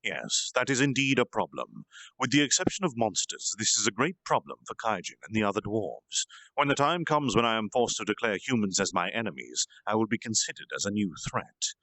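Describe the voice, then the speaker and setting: A man reading a story aloud on a stream in an earnest, theatrical amateur style with deliberate pauses. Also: lowish voice, big pitch swings, pushed and bright, dry recording, poor audio. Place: indoor home reading chair, livestream.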